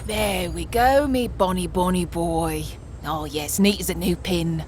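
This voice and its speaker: A woman's voice: fairly low pitch, unhurried, a little mellow, a little hiss or hum, close to an everyday voice. A woman voicing an eccentric, menacing, ancient street crone in a comic dub, rambling and cajoling with old-fashioned endearments. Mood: sly, crooning. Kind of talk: comic dub character acting.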